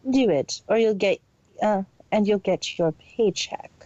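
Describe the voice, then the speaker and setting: A woman's voice: mid-range voice, a little slow, mellow, no echo, highs cut off, close to an everyday voice. A woman voicing a cold, detached, imperious female pony villain boss in an amateur story reading. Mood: cold, commanding. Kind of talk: amateur fan fiction audio reading.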